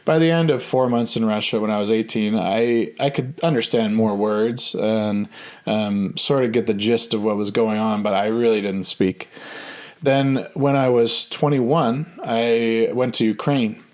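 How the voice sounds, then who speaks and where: A man in his thirties telling a personal story in a relaxed, hesitant way, full of ums and restarts. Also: lowish voice, a little slow. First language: English. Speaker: vlogger.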